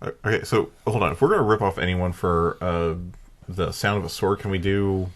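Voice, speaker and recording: A man's voice: deep voice, a little fast. A man co-hosting a podcast, chatting and explaining things casually among friends. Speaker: podcast co-host. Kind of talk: casual group banter, explaining.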